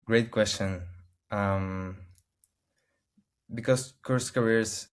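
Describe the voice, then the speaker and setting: A man from Argentina with an Argentine Spanish accent, a native Spanish speaker, answering interview questions in halting English full of restarts and searches for words over a video call. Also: lowish voice, fairly even intonation, smooth. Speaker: interview guest.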